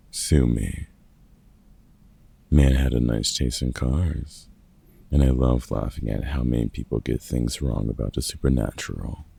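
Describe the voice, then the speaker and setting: Gruff but kindly vampire hunter, played by a man for roleplay audio: heavily fried, dark, raspy voice, sardonic and grumbling. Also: extremely low pitch, heavily acted. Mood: amused, dry. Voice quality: gravelly, husky. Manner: dry, sarcastic, grumbling. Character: gruff, grudgingly kind older male vampire hunter. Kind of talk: roleplay ASMR audio drama monologue.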